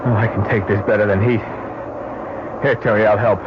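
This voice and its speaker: A dull, faintly noisy vintage recording of a man acting a brash astronaut. The voice is on the low side, casual and over-familiar, in old radio-play style. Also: fairly even intonation, fairly quick, close to an everyday voice. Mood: breezy. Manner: casual, slangy.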